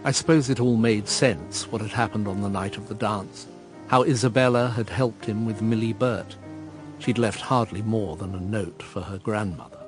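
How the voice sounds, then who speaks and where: An actor playing a melancholy gentleman narrating his own past in a radio drama, measured, understated and reflective. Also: lowish voice, over music, lightly acted. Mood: wistful, sombre. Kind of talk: first-person dramatic narration.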